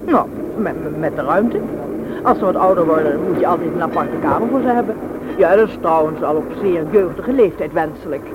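Brisk, bossy woman played by an actress, noisy recording. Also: lowish voice, animated, close to an everyday voice. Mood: probing, bossy. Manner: forward, businesslike, probing. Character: brisk, bossy, practical single woman sizing up a suitor. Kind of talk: Dutch radio play acting. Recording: noisy old radio recording.

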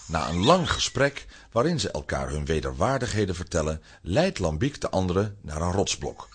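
A man narrating a children's adventure story from a script in a husky voice with dramatic delivery. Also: deep voice, big pitch swings, moderate pace.

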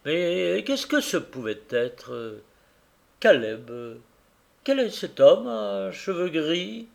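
A sly, sneering toy merchant who answers with quick, mocking promptness, as a male reader puts him on. The voice sits high and loud over a gravelly base. It is told at an unhurried storybook pace. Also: sing-song, heavily acted. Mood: suspicious.

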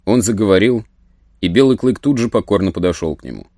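A man's voice: lowish voice, animated, fast talker, darkish tone, no echo, studio quality. A man narrating a story as a scripted dramatic audiobook. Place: studio.

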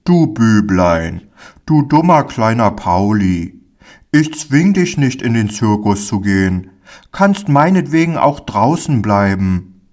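A man's voice: lowish voice, big pitch swings, slow pace, darkish tone. A man voicing a kindly, fatherly village man in a storybook, speaking in a soothing, earnest, folksy way. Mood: gently chiding.

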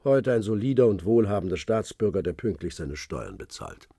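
Calm old spy contact, mellow low voice, knowing and fatherly. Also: normal speed, breathy edge, no echo, studio quality, full character voice. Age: older. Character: old man, seasoned former spy contact, calm and wise. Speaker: informant on the phone. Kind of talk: radio drama acting.

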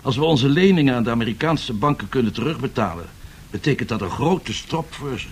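A man's voice: medium pitch, animated, fairly quick, close to an everyday voice. An actor playing a courteous, troubled French statesman with a formal, apologetic manner, in radio drama style. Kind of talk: 1970s Dutch radio drama acting. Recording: noisy 1970s radio drama recording.

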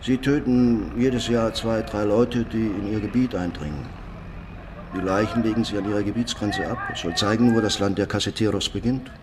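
An actor playing a grimly authoritative local adviser in radio play dialogue. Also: male voice, lowish voice, normal speed, background noise, lightly acted. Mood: grave, knowing.

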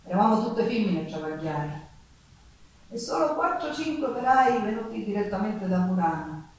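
A woman's voice: medium pitch, animated, normal speed, slight background noise, natural voice. An actress playing a poor, fierce Sicilian working woman who recounts her hard life in an earthy, dramatic stage monologue with a Sicilian accent. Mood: reflective, guarded. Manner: dramatic, earthy storytelling in dialect-laced speech. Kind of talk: theatrical monologue with folk singing. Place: theatre performance, with guitar music behind. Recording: echoey theatre stage, distant microphone.

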